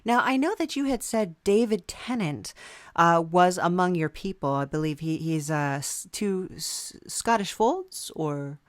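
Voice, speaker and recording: A woman's voice: on the low side, lively intonation, measured pace, no room sound, studio quality. A woman hosting a podcast, chatting casually and playfully, with a hesitant, indulgent manner. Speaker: podcast host.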